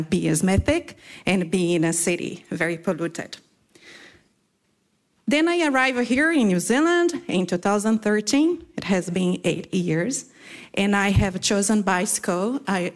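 A woman from Brazil with a Brazilian accent, a native Portuguese speaker, giving an informal talk at a community event, halting, with many fillers and restarts. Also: fairly low pitch.

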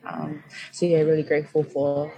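A young woman from New Zealand, a student guest talking in a soft, hesitant way with many pauses. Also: low-pitched, completely flat delivery, vocal fry, rough recording. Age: young adult. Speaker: student association exec member, guest. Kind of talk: casual radio chat.

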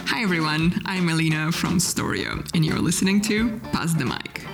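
A woman hosting a podcast from home, asking relaxed questions full of "like". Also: lowish voice, normal speed, vocal fry, over loud music. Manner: relaxed, filler-heavy questions. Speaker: podcast host. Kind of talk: casual podcast chat.

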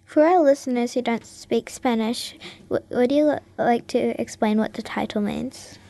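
A woman's voice: a little high, normal speed, gravelly, dark tone. A woman hosting a radio talent show, carefully reading out scripted introductions and prepared interview questions.

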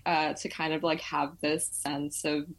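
Young woman guest, low pitched, chatty and loose, lots of "like" and "um". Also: flat delivery, unhurried. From Malaysia. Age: 20s. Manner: chatty, filler-heavy, laughs often. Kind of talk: casual interview chat.

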